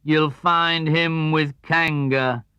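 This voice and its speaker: Guy doing a sad sarcastic old donkey from a kids book, slow draggy gloomy talk. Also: fairly high pitch, steady tone, bright, forceful tone, smooth, no echo, muffled recording, heavily acted. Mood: fussy, mournful. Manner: ponderous, drawling, mournful.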